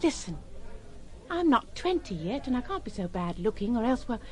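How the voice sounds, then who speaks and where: A woman's voice: a little high, sing-song, normal speed, darkish tone. An actress playing a poor, hard-bitten young orchestra girl, desperate and pleading, in a radio drama.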